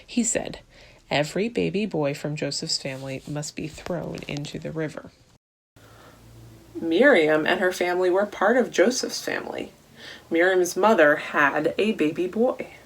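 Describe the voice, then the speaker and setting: A woman reading a Bible story aloud to children in a deliberate way, posing questions to young listeners as she goes. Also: deep voice. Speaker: children's storytime reader at a church.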